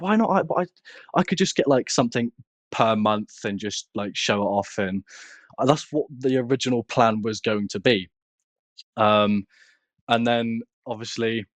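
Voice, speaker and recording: A man's voice: lowish voice, dry recording. A British man chatting casually as a podcast guest, telling his story in a hesitant, meandering way. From United Kingdom. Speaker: podcast guest, content creator.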